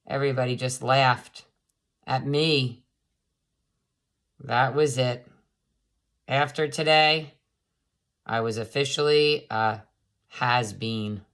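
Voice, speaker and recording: A woman reading a children's picture book aloud expressively, with dramatic drawn-out words and pauses. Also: rumbling low, fairly bright tone.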